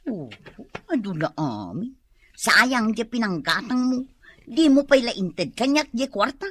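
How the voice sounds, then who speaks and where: A high, piercing nagging wife from a comic radio drama, recorded close and dry. She is querulous and blunt, always ready to scold her husband. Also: very animated, measured pace, fairly bright tone, husky, no echo, exaggerated voice. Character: sharp-tongued nagging wife Maring, quick to anger.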